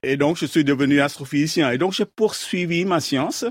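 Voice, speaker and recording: A man from Vietnam, a scientist guest in a radio interview, explaining his ideas in a measured way, pausing often to search for words. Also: fairly high pitch, slightly rough, no room sound. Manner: measured, thoughtful, halting with many fillers. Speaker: guest, astrophysicist.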